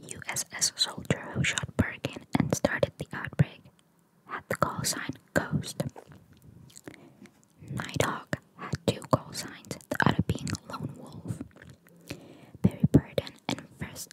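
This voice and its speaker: Whispering woman, ASMR host. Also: poor audio. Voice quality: breathy, unvoiced whisper. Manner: casual, chatty, playfully opinionated. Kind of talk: whispered ASMR reading of trivia with casual asides.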